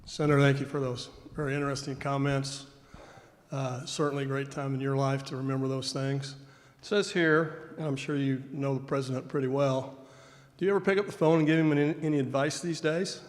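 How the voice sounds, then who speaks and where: A man's voice: medium pitch, fairly even intonation, fairly quick. A man with a mid-range voice asks a courteous, formal question from the floor. His voice carries a long church-hall reverb. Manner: polite, formal. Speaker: audience member asking a question. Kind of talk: audience question.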